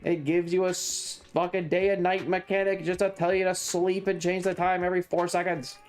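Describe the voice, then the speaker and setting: A woman livestreamer chatting casually over a video game in a blunt, sweary, deadpan manner, with game music behind her. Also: on the low side, fairly quick. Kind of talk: casual game streaming chat.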